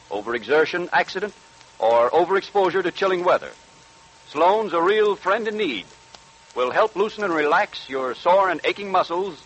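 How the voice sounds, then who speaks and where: A man reading a radio advertisement in a deliberate, emphatic 1940s announcer style. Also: on the high side, a bit bright, slightly rough, poor audio. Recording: vintage 1940s radio recording.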